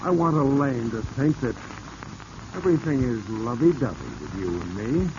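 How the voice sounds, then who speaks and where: An actor playing a smooth, insinuating, commanding male blackmailer in a 1940s radio drama. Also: medium pitch, sing-song, normal speed. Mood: hard, threatening. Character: scheming male blackmailer, smooth, menacing, falsely sweet to women. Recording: muffled, noisy vintage 1940s radio recording.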